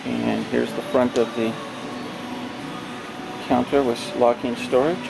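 A man with a rough, gravelly voice walks a large warehouse with a handheld camera, showing off a used trade show booth for sale. He talks plainly, with lots of pauses and fillers. Steady background noise from air conditioning and vehicles runs under the camera audio. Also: medium pitch. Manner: plain, unhurried sales description with many pauses and fillers. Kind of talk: walkthrough tour. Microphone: handheld camera held by the speaker.

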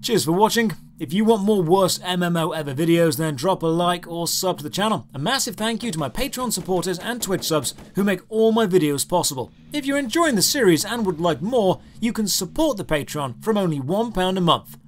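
High-pitched man, dryly sarcastic. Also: animated, brisk, few pauses, a little hiss or hum. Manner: dry, exasperated sarcasm. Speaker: video game reviewer. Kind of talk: scripted review narration over gameplay.

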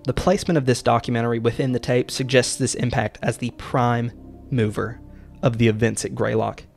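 A man hosting a video essay, reading scripted narration with a deliberate, dramatic storytelling delivery. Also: medium pitch. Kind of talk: scripted narration of horror series recap. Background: background music.

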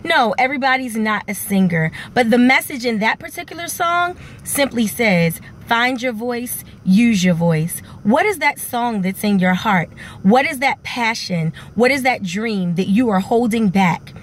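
Middle-aged lady vlogging from her car on a phone, upbeat and encouraging, fairly high voice. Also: expressive, unhurried, slight background noise. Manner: earnest, encouraging, addresses viewers directly. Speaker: vlogger, teacher and singer. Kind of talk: inspirational vlog talk. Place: parked car. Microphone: phone held at arm's length.

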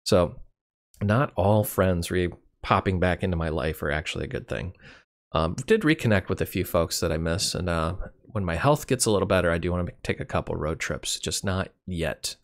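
A middle-aged man with a very low, deep voice talks through his year in a loose, rambling vlog. His voice is hoarse and keeps cracking, and he sounds candid and wry. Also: animated, normal speed. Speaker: YouTube channel host. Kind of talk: casual year-in-review monologue.

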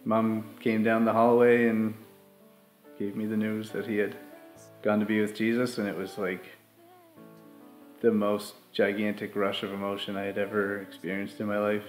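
A man in his thirties telling a personal story in a steady, flat way with fillers, in a living room. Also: lowish voice, moderate pace, echoey room, faint music behind, poor audio. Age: 30s. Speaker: grieving brother. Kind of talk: personal testimony.

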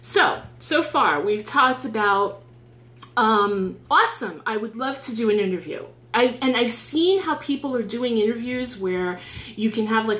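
A woman's voice: mid-range voice, a bit bright, echoey room. A woman in her forties with a New York accent, chatting upbeat to viewers in a casual live stream from her home office, filmed on a phone on a stand. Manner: chatty, upbeat, thanks viewers as she goes. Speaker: live streamer giving tips. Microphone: phone on a stand at arm's length.

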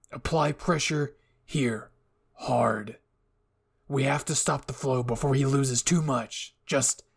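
A man voicing a gruff, hot-tempered young hero in an anime roleplay, brusque, profane and urgent. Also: medium pitch, full character voice. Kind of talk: anime ASMR roleplay acting.

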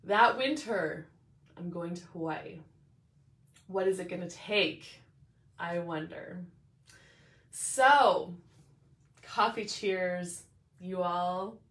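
A woman in her thirties, host of her own coffee chat, talking casually and reflectively to a phone camera at home. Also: lowish voice. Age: 30s. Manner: animated, reflective, speaks with hand gestures. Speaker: life coach and show host. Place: small room indoors at home. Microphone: phone camera at arm's length. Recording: echoey room.

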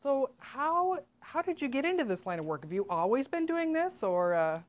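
A middle-aged woman hosting a relaxed interview, her voice lifting as she asks questions and drops in frequent short okays. She comes across as friendly and encouraging. She is recorded in a small, quiet studio from a camera close by. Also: sits high, normal speed. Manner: upbeat, many short affirming okays. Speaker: nutritionist host. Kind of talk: casual interview.

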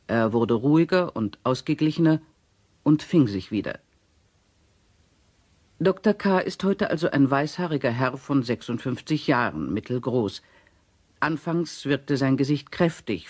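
A man reading a witness statement in a measured, formal, matter-of-fact way as radio play narration. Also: medium pitch, a little mellow, dry recording.

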